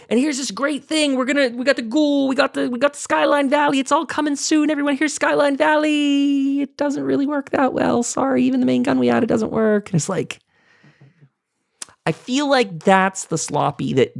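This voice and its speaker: A man's voice: very high voice, creaky, no echo, studio quality. A man hosting a casual roundtable, reading notes aloud and commenting in a chatty, digressive way. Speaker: podcast host.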